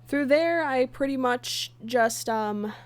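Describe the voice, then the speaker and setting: A woman chatting casually on a livestream as she works, halting and half talking to herself in an absent-minded way. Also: high-pitched, no echo. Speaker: livestreamer and comic dub creator. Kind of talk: casual livestream chat while working. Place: indoors at a computer, streaming.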